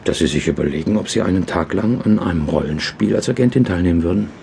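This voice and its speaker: An actor playing a formal, persuasive police chief in his forties in a German radio drama, speaking in measured official sentences. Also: low voice, animated, creaky, mellow, a little hiss or hum. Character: smooth-talking, formal male Europol chief in his mid-forties.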